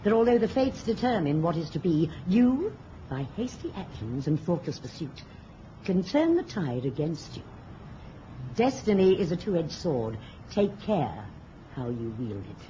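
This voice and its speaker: Cunning witch mother, dark-voiced and animated. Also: lowish voice. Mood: scheming, gloating. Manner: deliberate, imperious, theatrical. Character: cunning scheming witch mother, cold and commanding. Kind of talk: radio drama acting. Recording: muffled radio recording with hiss.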